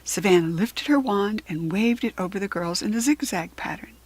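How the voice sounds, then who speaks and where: A woman's voice: mid-range voice, expressive, normal speed, breathy edge. A woman with an American accent reading a children's storybook aloud as a narrator.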